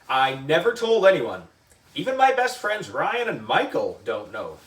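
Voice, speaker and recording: Animated man reading aloud in an echoey room. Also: medium pitch. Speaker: book reader for a children's book club. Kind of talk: animated children's story reading. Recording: echoey room, distant mic.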